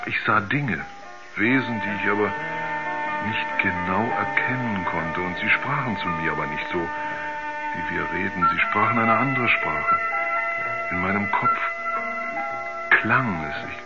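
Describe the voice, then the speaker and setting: An actor playing a troubled astronaut who haltingly recalls his moon journey in a colloquial way, in a 1970s German radio play. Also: low-pitched, over loud music. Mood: haunted. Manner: halting, colloquial, searching for memories. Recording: muffled 1970s radio recording.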